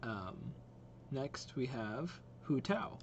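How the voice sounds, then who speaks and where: A man hosting a reaction video with casual, impressed commentary, thinking out loud as he watches. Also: mid-range voice, sing-song, drawn-out words, slight background noise. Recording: game trailer music playing behind. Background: game trailer audio and music.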